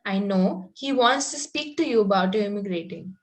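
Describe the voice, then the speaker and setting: A woman's voice: medium pitch, animated, normal speed, lightly acted. A woman reading an earnest, precocious young Victorian lady in a comedy table read, formal with some stumbles over the script. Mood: prim, earnest.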